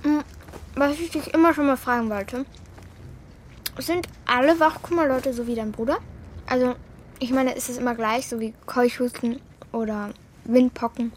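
Young girl played by an actress, bold, blunt, curious; higher voice; radio drama dialogue over faint ambience. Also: fairly even intonation, moderate pace, pauses often, a little hiss or hum, natural voice. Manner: direct, inquisitive, decisive. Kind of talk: children's radio play dialogue.

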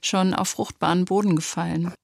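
A woman with a somewhat low voice leads a radio conversation. She asks reflective, tentative questions. The recording is dry and close. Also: fairly even intonation, brisk, gravelly, dry recording. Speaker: radio host.

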